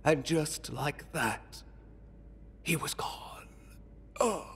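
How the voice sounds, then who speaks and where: A man playing a weary, remorseful fallen mastermind in a video game, speaking gravely and haltingly with breathy trailing phrases. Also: on the high side, lively intonation, a bit bright, whispered, full character voice. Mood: weary sorrow. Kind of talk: dramatic video game monologue.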